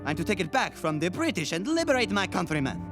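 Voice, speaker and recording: An actor playing a dashing young general, speaking in gallant, flowery phrases. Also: male voice, high-pitched, big pitch swings, fast talker. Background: music score.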